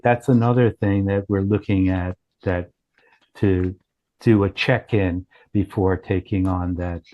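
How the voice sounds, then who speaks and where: Halting, low-voiced man with a mellow tone. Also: rough recording. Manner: halting, self-correcting delivery with many broken starts. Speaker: company founder and chief executive. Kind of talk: explaining a product demo.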